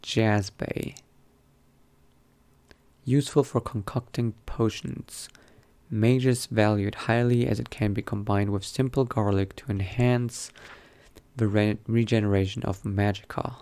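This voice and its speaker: Low-voiced man musing in character. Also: steady tone, a little slow, a little mellow. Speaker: roleplay gamer narrating in character. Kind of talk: in-character game roleplay, reading aloud and musing.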